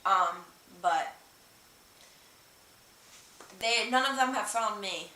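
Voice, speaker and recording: A woman livestream host talks off the cuff in a bright, forward voice. She rambles informally, with many hesitations and filler words. The sound is roomy and distant from the microphone, with a faint hiss behind her. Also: mid-range voice, very slow. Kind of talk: casual livestream chat, explaining and reading out viewer comments.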